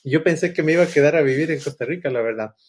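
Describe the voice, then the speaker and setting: A man's voice: a little high, rapid-fire, slightly rough. A middle-aged man, talking casually and discursively as a podcast guest, often checking in with little tag questions. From Ecuador. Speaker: podcast guest, agile consultant and coach. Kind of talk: casual conversational storytelling.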